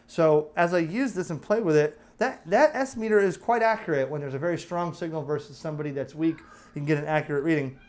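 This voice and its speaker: An American man with a slightly higher-pitched voice talks through a product review in a casual, unscripted way. He is chatty and explanatory, pausing to check that the listener is following along. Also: darkish tone.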